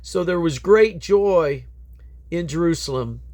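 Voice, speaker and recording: A man's voice: fairly high pitch, expressive, slow pace, darkish tone. A man reading scripture aloud as a Bible reader, in a solemn, declamatory manner.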